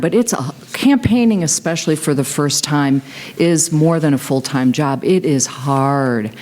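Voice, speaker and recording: Low-voiced woman, slow candidate's statement. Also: a little mellow. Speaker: city council candidate. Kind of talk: unscripted forum closing statement.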